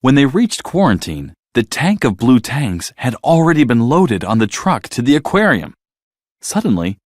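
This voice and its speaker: A man reading a children's bedtime story as narrator, in an expressive, scripted storytelling style. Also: medium pitch, no echo, clean studio sound.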